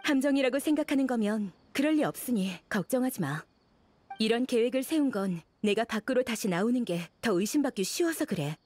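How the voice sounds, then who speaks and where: A guarded scholar's assistant, fairly high-voiced. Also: female voice, lively intonation, brisk, a little mellow. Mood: decisive, matter-of-fact. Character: guarded female scholar-assistant, pragmatic and self-assured. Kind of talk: game cutscene dialogue.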